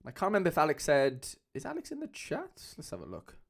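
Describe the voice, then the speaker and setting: A young man with a Cardiff accent chatting casually as a livestream host, loose and rambling with lots of fillers, speaking into a mic on a boom arm at home. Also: wildly swooping pitch, fast talker. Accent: Cardiff Welsh. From Wales. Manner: chatty, loose, many fillers, calls viewers lads.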